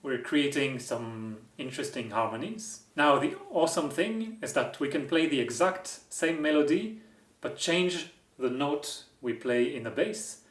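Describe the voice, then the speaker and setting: A man's voice: on the high side, expressive, a little slow, echoey room. A man with a French accent, hosting a music-making vlog and explaining his work with wry, self-deprecating humor. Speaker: musician and video host. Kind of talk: casual explanatory vlog narration.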